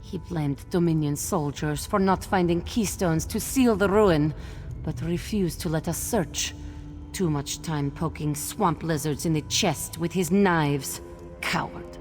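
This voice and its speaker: A woman's voice: low voice, measured pace, darkish tone, soft background music. A woman playing a wretched, bitter cat-folk captive in a fantasy video game, dramatic and scornful, referring to herself in the third person. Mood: scornful, conspiratorial. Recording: long cavernous reverb.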